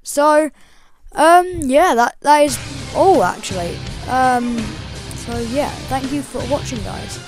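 A young woman with a slightly croaky voice, hosting a casual game tutorial voice-over in a chatty, rambling way with lots of ums. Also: high-pitched, animated, takes it slowly, music in the background. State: croaky, voice breaking. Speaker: gaming channel host.

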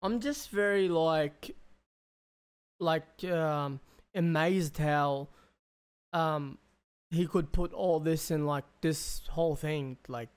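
Slangy young man, smooth clear voice. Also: on the high side. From Australia. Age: young adult. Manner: slangy, sweary, rambling. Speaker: reaction video host. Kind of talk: casual chat, reaction commentary. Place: small bedroom, indoors. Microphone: close desk microphone.

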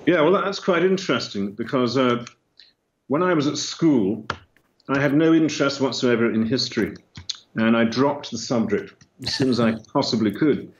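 A man's voice: medium pitch, moderate pace. A man in his fifties from London with an English accent, answering as an interview guest. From London, England. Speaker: guest, church historian. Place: indoor room with long table. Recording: roomy, dull, noisy remote link.

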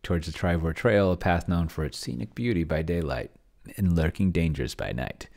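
A middle-aged man giving casual think-aloud screencast commentary, halting and muttering as he types. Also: low voice, creaky, darkish tone. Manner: halting, mutters while typing. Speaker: host of a solo roleplaying experiment vlog. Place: small indoor room with bookshelves.

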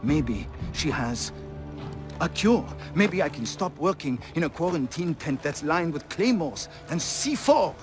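An actor playing a weary, principled military doctor with a French accent in a game cutscene, earnest and insistent. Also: male voice, on the high side, very animated, unhurried. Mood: earnest, challenging. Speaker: army doctor. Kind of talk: cinematic video game dialogue. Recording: music under the voice.

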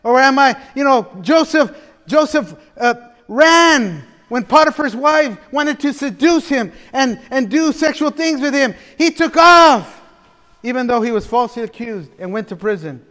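A middle-aged pastor, preaching an animated sermon from a church pulpit with playful asides. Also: male voice, extremely high pitch, measured pace. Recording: long reverb of a church hall.

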